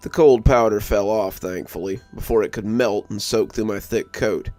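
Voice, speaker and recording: A man's voice: on the low side, moderate pace, dark tone. A man reading a scripted story as a narrator. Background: music under the voice.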